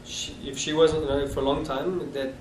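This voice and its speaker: A man in his thirties with a South African accent, recalling his past with halting restarts in an oral history interview. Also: fairly high pitch, level tone. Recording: echoey room, distant mic, noisy.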